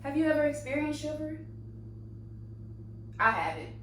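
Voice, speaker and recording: A woman's voice: high-pitched, big pitch swings, normal speed, a bit bright. Blunt woman in her thirties, echoey room. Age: 30s. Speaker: podcast co-host. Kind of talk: casual chat. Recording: very echoey room, distant mic, hum.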